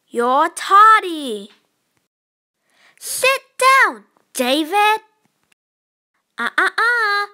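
A woman's voice: high voice, pushed and bright, full character voice. A woman playing an exasperated, strict storybook schoolteacher who gives scolding commands.

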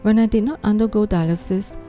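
Older woman patient, mellow voice. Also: medium pitch, fairly even intonation, quick pace, faint music behind. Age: 70s. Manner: simple, direct statements. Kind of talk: interview testimony. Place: home.

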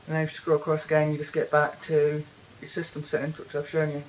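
Flat-voiced British man, hesitant tech walkthrough. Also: on the high side, quick pace, slight background noise, rough recording. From Britain. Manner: hesitant, many fillers and restarts. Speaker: tech reviewer. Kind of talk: casual hands-on product walkthrough. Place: indoors, bedroom.

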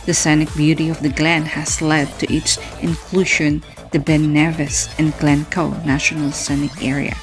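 A woman reading a narration in an even tone, with small slips. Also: sits low, moderate pace, few pauses, faint music behind. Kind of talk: read-out narration.

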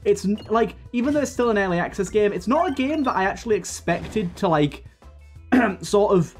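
A man with a slightly raspy voice from a coming cold, chatting casually as he plays and comments on a video game, thinking aloud with plenty of fillers. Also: high-pitched, expressive, moderate pace. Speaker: gaming video host. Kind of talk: casual let's-play commentary. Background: faint game music.

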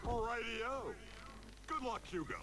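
A man's voice: extremely high pitch, lively intonation, unhurried, music in the background. A man playing a cheerful, carefree and rather dim balloon pilot in a cartoonish fantasy game, breezy and goofy.

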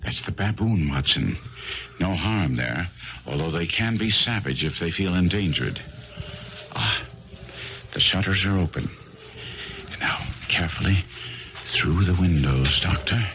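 An actor playing a shrewd, self-assured detective in a 1970s radio drama, speaking with precise, deliberate authority. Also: male voice, low-pitched, lively intonation, pushed and bright, exaggerated voice. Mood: tense, cautious. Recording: muffled, noisy old radio broadcast.

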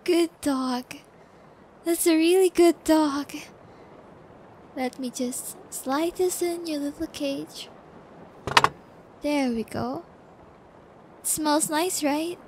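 A woman acting a sadistic young female captor in an ASMR audio roleplay, taunting and gloating with drawn-out, cruel menace. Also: high-pitched, darkish tone, a little hiss or hum. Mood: mocking menace.

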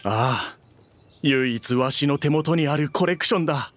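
A man's voice: a little high, moderate pace, a bit bright, natural voice. An actor playing a proud, fretful, down-on-his-luck bookish man with weary, old-fashioned speech in an anime game. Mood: wistful. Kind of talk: Japanese anime game dubbing.

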